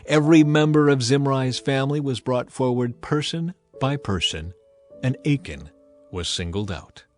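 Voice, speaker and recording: A man's voice: mid-range voice, moderate pace, a little mellow. A man reading scripture as a scripted, dramatized audio Bible narration. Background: background music.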